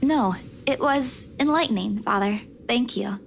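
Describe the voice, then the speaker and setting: Woman playing young game heroine, high pitch, polite, earnest, clear background noise. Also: unhurried.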